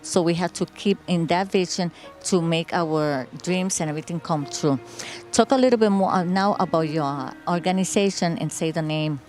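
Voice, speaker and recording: A woman's voice: fairly low pitch, moderate pace, darkish tone, soft background music. A woman host whose first language is Spanish, interviewing a guest in English with enthusiasm. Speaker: show host. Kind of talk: interview hosting.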